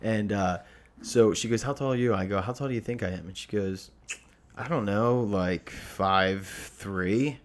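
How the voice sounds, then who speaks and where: A man's voice: fairly low pitch, a little slow. A man hosting a comedy podcast, telling stories in casual banter and acting out the people he quotes. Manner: animated storytelling, acts out quoted dialogue.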